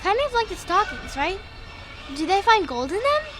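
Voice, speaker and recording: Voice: extremely high pitch, very animated, normal speed, over music. A young performer playing an eager, curious little boy in a children's audio drama, chatty and full of questions. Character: excitable, curious, earnest little boy Luke.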